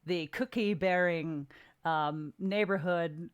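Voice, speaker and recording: A woman hosting a casual interview, putting questions and comments in an informal, halting way. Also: fairly low pitch, clear, resonant tone, highs cut off.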